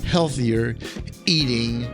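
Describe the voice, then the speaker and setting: A man's voice: mid-range voice, very slow, loud music behind the voice. A man hosting a podcast with an enthusiastic, emphatic delivery, as if reading an upbeat script. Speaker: podcast host, chef.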